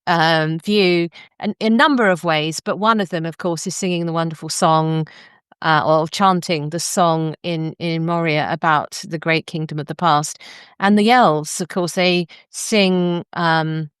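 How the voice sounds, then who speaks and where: A woman's voice: deep voice, fairly even intonation, unhurried, clean tone, dry recording, studio quality. A woman hosting a conversational book interview in an articulate, discursive way. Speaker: podcast host and interviewer.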